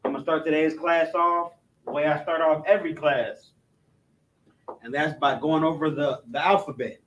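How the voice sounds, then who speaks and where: A man's voice: on the high side, fairly even intonation, normal speed, a bit bright, lo-fi sound. A man giving an informal class, explaining step by step, often stumbling and restarting and checking in with his listeners. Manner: loose and repetitive, stumbles and restarts, keeps asking "you know what I'm saying". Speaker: religious language instructor.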